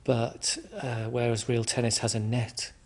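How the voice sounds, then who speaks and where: A man from England answering interview questions in a hesitant, reflective way, with frequent restarts and pauses. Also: medium pitch, little pitch movement. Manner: hesitant, many restarts and ums. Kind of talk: conversational interview.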